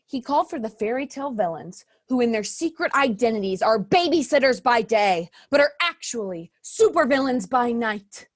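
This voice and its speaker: A woman's voice: a little high, lively intonation, normal speed, few pauses. A woman narrating a fairy tale stage play in a storybook manner. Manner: storybook narrating with an ironic aside.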